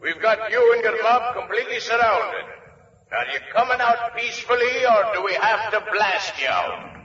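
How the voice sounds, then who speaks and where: Threatening American police captain, high-pitched and commanding, vintage 1940s crime serial with long hall reverb. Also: male voice, animated, moderate pace, sharp tone. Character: tough police captain calling out a cornered gangster. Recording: echoey, distant, muffled 1940s radio recording.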